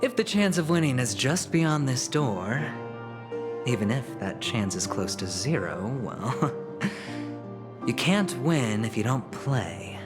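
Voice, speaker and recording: A man playing a smug, scheming young gambler in a video game, teasing and dramatic, with hushed asides. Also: mid-range voice, big pitch swings, slow pace. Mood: scheming, confident. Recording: game music under the voice. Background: game music.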